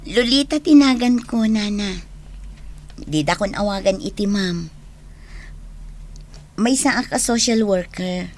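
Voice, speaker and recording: A woman's voice: medium pitch, takes it slowly, pauses often, a little mellow, lightly acted. A woman playing a kindly, reassuring young social worker in a radio drama. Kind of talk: radio drama acting in Ilocano.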